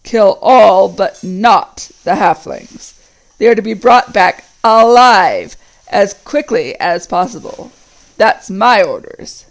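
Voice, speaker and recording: A woman voicing a huge male orc captain, speaking in a slow, deep growl. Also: expressive, poor audio. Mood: commanding.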